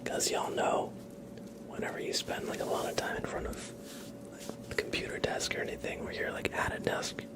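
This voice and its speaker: A man whispering gently as he hosts an ASMR live stream, chatting to viewers in a breathy voice and often repeating short phrases. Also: moderate pace. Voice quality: breathy whisper with creaky edges.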